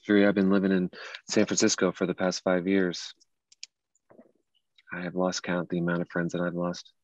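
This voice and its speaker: A low male voice, close and dry, an actor as an earnest man in his late thirties carrying grief in a stage drama. He talks conversationally, and the sound is a little dull. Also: completely flat delivery, moderate pace, no echo, lightly acted. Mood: wistful. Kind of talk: table read of a dramatic script.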